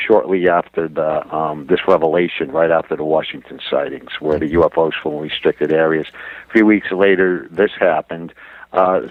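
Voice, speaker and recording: A man's voice: sits low, no room sound. A man as an interview guest on a phone call, explaining his research in a casual, folksy way. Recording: thin, narrow phone-like line.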